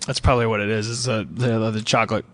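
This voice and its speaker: A man's voice: lowish voice, flat delivery, fast, a bit bright. A man hosting a casual comedy show, talking in a stammering way that repeats words and restarts phrases. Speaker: comedy podcast host. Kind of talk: casual comic banter.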